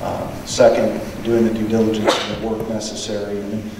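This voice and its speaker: A man, a school superintendent, answering residents' questions in a measured, diplomatic way, often restarting with repeated words. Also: lowish voice, completely flat delivery. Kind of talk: answering questions at a public meeting. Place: large meeting hall. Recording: echoey hall, distant mic, background noise.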